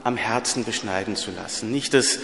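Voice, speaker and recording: A man's voice: fairly low pitch, normal speed, creaky, a bit bright. A man preaching a sermon in a plain, earnest way, with a slight stutter and repeated words. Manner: earnest, plain-spoken, rising to emphatic peaks. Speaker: priest. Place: church. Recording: echoey church hall.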